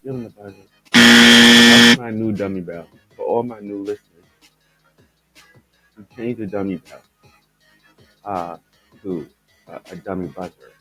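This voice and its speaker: A man hosting his own podcast, his voice raspy and stuffed up, chatting loosely and rambling as he talks straight to his listeners about the news. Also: fairly low pitch, fairly even intonation, a little slow, long pauses, pushed and bright, faint music behind, low-quality recording. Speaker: podcast host. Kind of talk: casual commentary on news stories.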